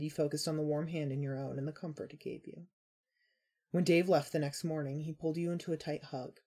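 A woman narrator reading scripted fiction in a husky voice. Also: sits low, dark tone.

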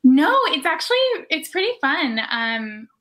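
A woman guest on a casual podcast chat, speaking thoughtfully with frequent pauses. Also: high-pitched, very animated, a bit bright.

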